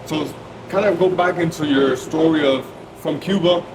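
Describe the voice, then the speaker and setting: A man hosting an interview, asking long, rambling questions at an unhurried pace. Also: on the high side. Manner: slow, rambling, probing questions. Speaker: host, interviewer. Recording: echoey room with loud noise.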